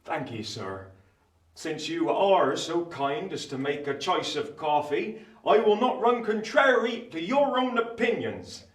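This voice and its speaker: An actor playing a humble, kindly country blacksmith, fumbling and deferential with rustic grammar, in a radio play. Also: male voice, fairly high pitch, big pitch swings, unhurried, far from the mic. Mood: flustered politeness. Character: humble, kindly country blacksmith, awkwardly polite among gentlefolk. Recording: echoey room, off the mic.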